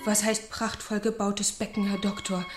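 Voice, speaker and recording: A woman's voice: mid-range voice, completely flat delivery, normal speed, loud music behind the voice, close to an everyday voice. An actress playing an anxious, love-sick but proud young woman in a German radio drama, urgent and emotional, often sinking toward a whisper. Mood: defiant, urgent.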